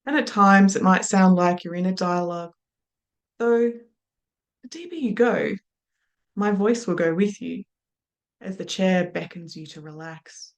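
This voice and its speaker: A middle-aged woman, a hypnosis trainer, guiding a listener into trance in a slow, soft, trailing voice with repeated phrases. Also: lowish voice, lively intonation. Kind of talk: guided hypnotic induction.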